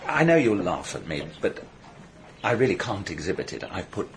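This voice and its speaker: Deep-voiced, earnest English painter. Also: male voice, big pitch swings, moderate pace, slight background noise. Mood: intense confiding. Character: earnest, secretive English painter, sensitive and devoted to art. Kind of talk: radio drama acting.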